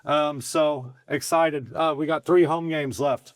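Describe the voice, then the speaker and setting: A middle-aged man hosting a casual podcast, hoarse today, talking in a rambling, folksy, excitable way full of restarts. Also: on the high side, takes it slowly, no room sound. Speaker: host and bar owner.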